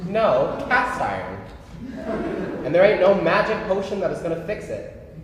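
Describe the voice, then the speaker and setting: A man's voice: high voice, sing-song, unhurried. A man playing a jaded, exasperated middle-aged son in a staged play reading, weary, sardonic and dismissive. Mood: bitter, sardonic. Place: live staged reading in a reverberant room. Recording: very echoey hall, some background noise.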